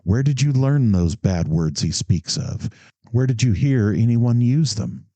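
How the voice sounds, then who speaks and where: A man voicing a gentle, indulgent mother who scolds her son mildly and without severity. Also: medium pitch, very animated, unhurried, dry recording, voice pushed up. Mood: gently reproving.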